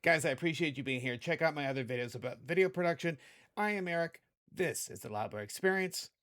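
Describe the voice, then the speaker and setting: Hoarse, chatty man teaching editing. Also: on the high side, quick pace, fairly bright tone. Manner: chatty, rambling, self-correcting. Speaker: video editing tutorial host. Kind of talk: casual screen-recorded tutorial.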